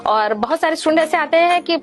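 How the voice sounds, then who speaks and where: A woman's voice: very high-pitched, expressive, fast. A woman answering interview questions as a dance teacher, mixing English words into her talk. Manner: mixes English words into Hindi. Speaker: interview guest, classical dance teacher and institute head.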